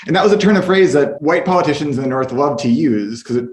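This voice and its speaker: A man's voice: fairly high pitch, animated, fast talker, a little mellow. A man speaking as a professor on a panel, giving explanatory, academic analysis. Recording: roomy, echoing sound.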